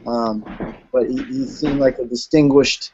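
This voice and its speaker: A man's voice: mid-range voice, fairly even intonation, unhurried, highs cut off, background noise. A man appearing as a guest on an online hangout, answering questions in an easygoing, hesitant way with frequent ums. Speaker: guest actor. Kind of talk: casual interview answers.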